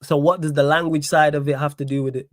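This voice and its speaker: A young man with a strong Manchester accent talks back to his live chat, indignant and insistent, circling back to the same phrases again and again. His voice sits a little high for a man. The sound is dry and close, with no room around it. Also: steady tone, quick pace, dry recording. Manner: indignant, repeats phrases, reads chat aloud. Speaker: livestream host. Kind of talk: live stream chat reaction.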